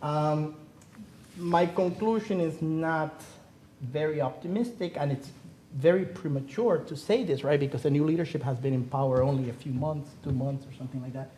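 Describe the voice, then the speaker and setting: A man's voice: on the high side, normal speed, warm tone, a little hiss or hum, low-quality recording. A middle-aged Cuban-born man giving an earnest academic seminar talk as a panelist, pressing his points with rhetorical questions. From Cuba. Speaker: panelist speaking on the economy. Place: theater.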